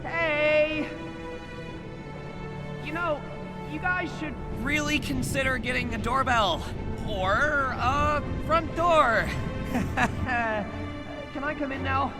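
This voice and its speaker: A man's voice: very high-pitched, very slow, pauses often, full character voice. An American man playing an upbeat, wisecracking, nervy young superhero in a video game, chatty and rambling. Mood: joking. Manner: chatty, rambling, self-correcting, jokey. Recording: game music behind the voice.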